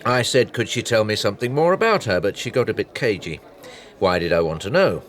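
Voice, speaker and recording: An actor playing a chatty British private detective in a naturalistic radio drama, wry and anecdotal. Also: male voice, on the low side, animated, fairly quick, natural voice. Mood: matter-of-fact.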